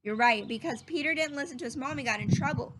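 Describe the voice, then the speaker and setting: A woman's voice: sits high, fast talker, fairly bright tone, a little hiss or hum. A woman reading a children's story aloud in a teacherly, explanatory way, as if speaking to young listeners.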